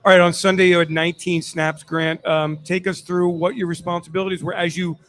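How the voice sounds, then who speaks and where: A man's voice: sits high, little pitch movement, a little fast, a bit bright. A man interviewing an athlete on a sports show in a conversational way, often stacking several questions together.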